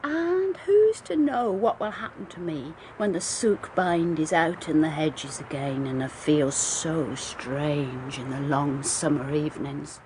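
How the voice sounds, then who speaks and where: A woman's voice: deep voice, slow pace, few pauses, noisy background. An actress playing a sullen, put-upon young hired girl in a radio drama, with a rural southern English accent and plaintive dialect speech. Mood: self-pitying. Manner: dialect-heavy, aggrieved, plaintive.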